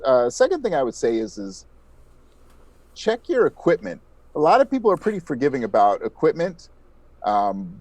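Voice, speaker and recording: A man's voice: mid-range voice, a little mellow, no echo. A man speaking casually as an interview guest, a podcast creator giving his thoughts.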